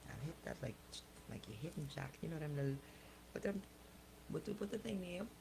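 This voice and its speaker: A woman's voice: deep voice, lively intonation, fairly quick, creaky, noisy background. A woman radio host bantering and commenting on the news in a blunt, exclamatory, colloquial way.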